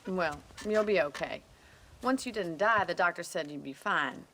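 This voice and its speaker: An actress playing a brisk, sardonic young woman rancher with an American accent in a Western video game, frank and teasing. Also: fairly low pitch, lively intonation, fairly bright tone, slight background noise. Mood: wry, teasing.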